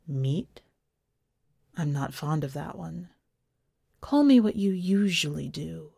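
A plain-spoken husband from a literary story, read by a woman, affectionate and a little puzzled. The delivery is unhurried, low-key and matter-of-fact, with a dry close sound. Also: lively intonation, vocal fry, mellow, slightly rough, no room sound, full character voice. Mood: wary, questioning.

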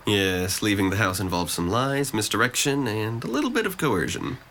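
A man's voice: lowish voice, lively intonation, moderate pace, fairly bright tone, a little hiss or hum. A man playing a depressed, sardonic, clever young man in an audio drama, choosing his words precisely and sarcastically. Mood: dry, candid.

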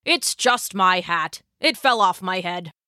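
Woman voicing a slow, amazed old farmer husband. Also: lowish voice, lively intonation, bright, forceful tone, no room sound, clean studio sound, pitched below the performer's own voice, heavily acted. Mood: puzzled. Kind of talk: children's storybook character voice.

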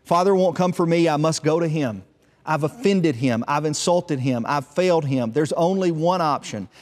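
A stubborn, toiling brother full of guilt voiced by a male storyteller in a reverberant hall. He is earnest and emphatic, blaming himself in a somewhat high voice. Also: animated, normal speed, lightly acted. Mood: determined, remorseful. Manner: earnest, emphatic, self-reproaching.

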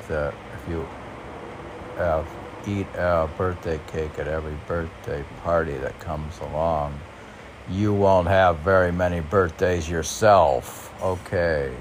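A man's voice: sits low, slight background noise. A man reading lines of poetry and commenting on them in a casual, rambling, hesitant way, as if thinking aloud. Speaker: poet reading and musing on his own poems.

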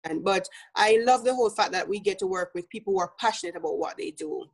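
A woman's voice: medium pitch, fast talker. A woman from Jamaica with a Jamaican accent, a business coach speaking as an interview guest in an earnest way, with easy laughs and many fillers.

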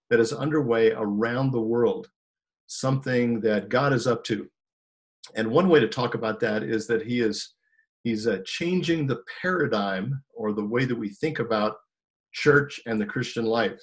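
A man hosting an interview over a video call, talking with frequent ums and uhs. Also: mid-range voice. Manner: hesitant, many ums and uhs. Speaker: host.

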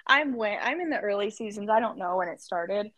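Woman, young adult, chatty rambler, mid pitch, dry close mic. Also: lively intonation, brisk, fairly bright tone, dry recording. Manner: chatty, laughing, rambling storyteller. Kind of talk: casual chat.

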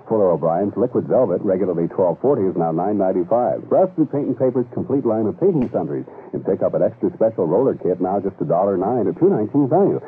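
Male retail announcer, rapid lively read, low register, thin slightly noisy radio sound. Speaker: local commercial announcer. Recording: tinny radio broadcast, faint noise.

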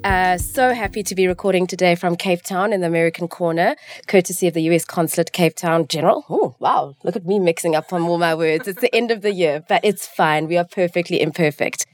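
Effusive woman hosting, low voice. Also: a little fast, hardly any pauses. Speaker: podcast host. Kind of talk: casual interview hosting. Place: recording studio.

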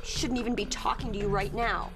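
A woman's voice: medium pitch, steady tone, brisk, over music, close to an everyday voice. An actress playing a stern, pious small-town mother in an audio drama, curt and scolding. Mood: curt, dismissive. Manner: sharp, scolding, dismissive.

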